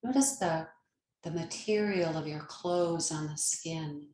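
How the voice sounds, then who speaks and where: Female meditation guide, lower-pitched and very slow, gentle and soothing, in a roomy, echoing space. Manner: gentle, unhurried, soothing instruction with long pauses. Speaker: yoga nidra meditation teacher.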